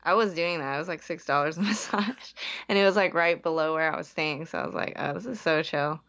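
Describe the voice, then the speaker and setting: Chatty young woman, low muffled voice. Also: brisk, creaky, a bit bright. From San Francisco Bay Area. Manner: chatty, heavy use of "like", frequent self-restarts. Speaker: guest. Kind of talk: casual interview.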